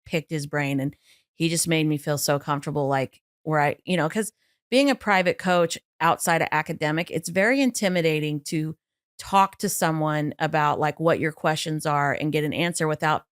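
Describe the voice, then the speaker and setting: A woman vocal coach, a guest on a podcast, telling stories in a lively, chatty way with plenty of fillers. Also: deep voice, normal speed, clean tone, no echo, clean studio sound. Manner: animated, chatty storytelling with many fillers. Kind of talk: casual podcast interview.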